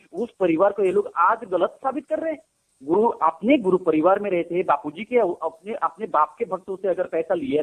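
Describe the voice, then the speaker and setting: High-pitched scolding man on a phone call. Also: slightly rough. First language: Gujarati. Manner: scolding, insistent, repeats challenges and questions. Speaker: devotee of a guru, arguing on a phone call. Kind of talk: heated phone argument.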